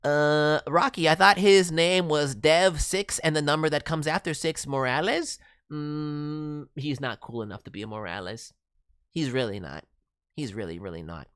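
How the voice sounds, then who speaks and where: A performer voicing a cheeky, excitable non-human cartoon mascot who hosts a casual stream with boastful, playful word-mangling. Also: mid-range voice, moderate pace, clean tone, dry recording, clean studio sound, lightly acted. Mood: cheekily boastful. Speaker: host reviewing voice demo reels. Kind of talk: casual live stream commentary.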